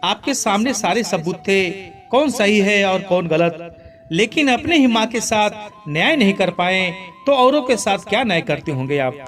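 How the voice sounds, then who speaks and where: A man's voice: sits high, lively intonation, moderate pace, over music. A man voicing a blunt, morally upright watchman in a moral story, reproving and plain-spoken.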